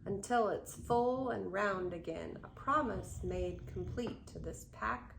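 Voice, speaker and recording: An older woman reading a picture book aloud in an expressive, unhurried way, with chatty asides to young listeners. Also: medium pitch, gravelly. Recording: roomy echo, faint background noise.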